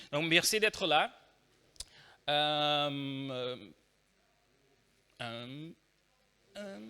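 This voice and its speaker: Bright slow Brazilian-accented man speaking. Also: fairly high pitch, vocal fry. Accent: Brazilian Portuguese. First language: Portuguese. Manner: joking, hesitant with many fillers. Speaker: conference speaker. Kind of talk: conference talk.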